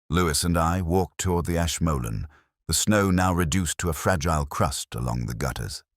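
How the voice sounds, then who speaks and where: An extremely low male voice of an actor plays a brooding, intellectual detective telling his own mystery story. The delivery is measured and literary, with pitch that moves expressively through each phrase. The sound is close and dry, with a reflective, melancholy temper suited to audio drama. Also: breathy edge, no echo, exaggerated voice. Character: melancholy, intellectual English police detective recounting a case. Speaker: narrator. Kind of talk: scripted first-person detective story narration.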